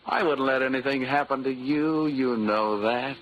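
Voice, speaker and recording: An actor playing a wisecracking, flirtatious hard-boiled investigator, narrating and bantering sardonically in a 1940s radio drama. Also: male voice, medium pitch, moderate pace, muffled recording, slight background noise, lightly acted. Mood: coaxing. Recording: old 1940s radio recording.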